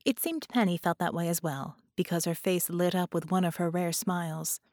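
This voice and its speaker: A woman's voice: on the low side, moderate pace, darkish tone, no room sound. Dry, close-sounding woman narrator. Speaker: audiobook narrator. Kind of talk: scripted fiction narration.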